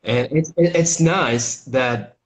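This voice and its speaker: A young adult man from Brazil with a Brazilian accent, an English teacher talking casually over a video call, halting with lots of fillers and restarts. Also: mid-range voice. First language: Portuguese. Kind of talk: casual interview chat.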